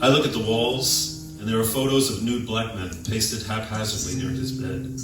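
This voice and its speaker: A middle-aged man reading his own literary short story aloud at a live indoor event, in a measured way with pauses between short phrases. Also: on the low side, flat delivery. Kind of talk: literary spoken-word story reading with musical accompaniment. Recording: very echoey room, distant microphone. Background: live guitar accompaniment.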